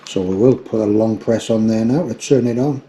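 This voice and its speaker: A man's voice: fairly low pitch, moderate pace, mellow, highs cut off, a little hiss or hum. A man in his fifties giving a casual, plain-spoken product review to the camera, explaining things step by step. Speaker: outdoor gear reviewer. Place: forest trail outdoors, and small room indoors.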